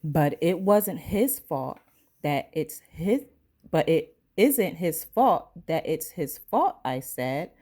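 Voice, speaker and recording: A woman's voice: low voice, big pitch swings, takes it slowly, warm tone. A woman reading a picture book aloud to young children as a teacher, acting out its sounds and dialogue expressively.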